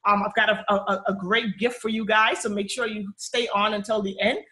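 A woman's voice: mid-range voice, steady tone, moderate pace, bright, forceful tone. A woman in her thirties from the Bahamas with a Bahamian accent, hosting a live webinar on a video call from a small room at home, chatty and upbeat, often checking in with "okay?". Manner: chatty, upbeat, frequent "you know" and "okay?" check-ins. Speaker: personal finance webinar host.

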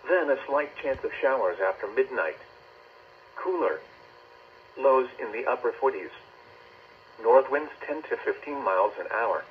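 Synthetic male weather radio announcer, dull and noisy. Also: medium pitch. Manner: stilted, synthesized, formal announcer delivery. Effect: synthetic voice over weather radio. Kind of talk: scripted weather bulletin reading. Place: played from a weather radio indoors. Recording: dull radio speaker, clear noise.

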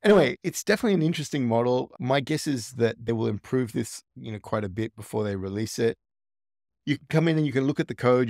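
A man's voice: mid-range voice, a little mellow, no echo, studio quality. A man hosting a tech tutorial, casually walking through a screen recording and thinking aloud with small restarts.

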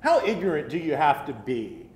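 Middle-aged man, American accent, lively swinging pitch, emphatic opinionated chat, echoey room. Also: normal speed, soft background music. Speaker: co-host of a geek talk show. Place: indoor room at a table.

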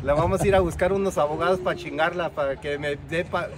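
A man's voice: fairly high pitch, fairly even intonation, fast. A man, a vendor at an outdoor flea market, bantering and teasing with frequent laughs. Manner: laughs often, teasing, mixes in English. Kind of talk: joking banter.

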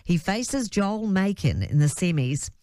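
A woman's voice: mid-range voice, sing-song, measured pace, dark tone, no echo, clean studio sound. A woman with a New Zealand accent reads a scripted news bulletin as a radio newsreader. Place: dry radio studio.

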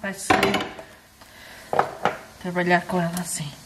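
A woman's voice: on the low side, flat delivery, takes it slowly. Matter-of-fact Portuguese mother, echoey kitchen. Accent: European Portuguese. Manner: brief, matter-of-fact answers. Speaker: home cook, the host's mother. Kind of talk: explaining a family recipe. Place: home kitchen, indoors. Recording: echoey kitchen with background noise.

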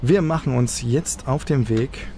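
A man's voice: medium pitch, measured pace, mellow, a little hiss or hum. A man giving casual let's play commentary as the host.

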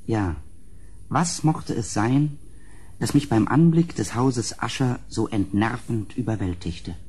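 A grave gentleman narrator in a gothic radio play. His voice is husky and of medium pitch. He speaks in solemn, measured, literary sentences, as one haunted by what he describes. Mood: pondering dread, then brooding, uneasy. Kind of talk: dramatic gothic audio drama narration.

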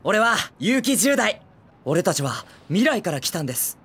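A man voicing a brash, excitable teenage boy hero in an anime film, with cocky, eager, boyish speech. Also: sits high, normal speed, breathy edge, full character voice. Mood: earnest, urgent. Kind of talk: Japanese anime film acting.

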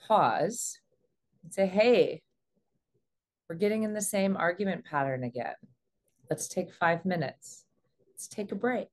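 Woman, 40s, fairly low voice, earnest and measured coach delivering instructive advice to camera. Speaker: relationship coach and host. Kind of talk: explanatory advice talk to camera. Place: small indoor room.

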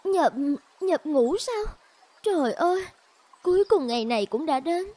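Woman playing a high-voiced, devoted young maiden. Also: animated, measured pace, warm tone. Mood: worried, sorrowful. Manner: earnest, pleading. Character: devoted, stubborn young village woman in love. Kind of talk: cartoon voice acting.

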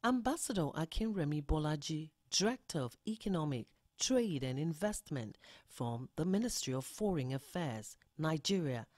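A woman's voice: low-pitched, expressive, moderate pace, darkish tone, no room sound, clean studio sound. A woman reading a scripted news report as voice-over in a formal way. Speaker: news narrator.

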